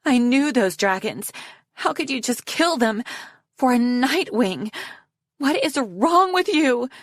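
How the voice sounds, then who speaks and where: A furious female ice dragon, put on by a woman narrator in a fantasy storybook. She is accusing and indignant, shouting high with very little room sound. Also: expressive, slow pace, no room sound, clean studio sound, full character voice. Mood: outraged.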